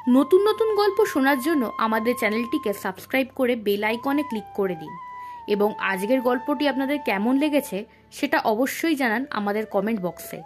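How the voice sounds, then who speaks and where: A woman's voice: on the high side, expressive, moderate pace, over music. A woman hosting a story podcast, delivering a scripted introduction and outro.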